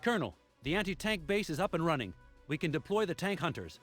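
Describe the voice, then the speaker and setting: A man's voice: fairly high pitch, big pitch swings, fast talker. An actor playing an eager, dutiful soldier giving clipped, deferential reports to his commander in a video game. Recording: loud music under the voice. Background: loud game music.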